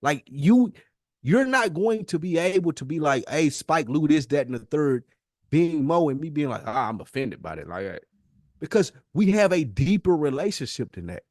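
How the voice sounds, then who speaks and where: A man's voice: on the high side, sing-song, normal speed, darkish tone, no room sound, clean studio sound. An American man co-hosting a podcast in casual, argumentative chat, blunt and emphatic, repeating himself to press his point. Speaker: podcast co-host.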